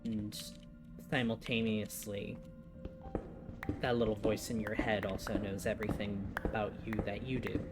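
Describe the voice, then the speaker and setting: A twenty-two-year-old man with a mild Midwestern accent, talking softly and reflectively while he plays a game, rambling with a lot of "you know". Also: on the low side, a little slow. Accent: Midwestern American. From United States. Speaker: gaming vlogger. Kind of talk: casual confessional chat while playing. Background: loud game music.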